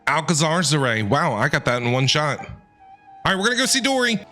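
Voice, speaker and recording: A man's voice: fairly high pitch, sing-song, a little fast, music in the background. A man livestreaming casual game commentary, playfully talking back to the characters in slangy speech.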